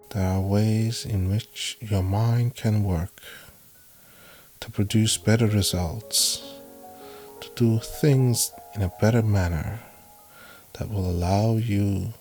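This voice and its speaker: A man narrating a guided meditation in a measured, instructive way, with frequent pauses between phrases. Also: lowish voice. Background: background music.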